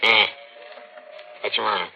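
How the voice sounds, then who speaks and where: A man's voice: on the low side, steady tone, takes it slowly, gravelly, bright, forceful tone, full character voice. An actor playing a suspicious, grumbling old American country man in a vintage radio drama, speaking curtly. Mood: irritated. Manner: grumbling, curt. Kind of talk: 1940s radio drama acting. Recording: dull old radio recording, faint noise.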